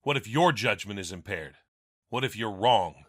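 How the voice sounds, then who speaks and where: A man's voice: lowish voice, animated, normal speed, no echo, studio quality. A man voicing an earnest, troubled police captain with an urgent manner in a crime drama. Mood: grave, pressing. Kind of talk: animated crime drama acting.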